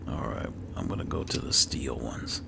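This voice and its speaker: A man's voice: extremely low pitch, normal speed, vocal fry, background noise. A man giving casual let's play commentary, muttering to himself as he plays a video game. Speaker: gaming video host.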